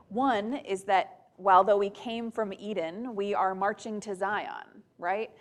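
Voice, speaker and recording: A woman giving a conversational sermon to her church, talking in a chatty way with frequent "um"s, "right?" tags and soft laughs. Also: mid-range voice. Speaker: preacher.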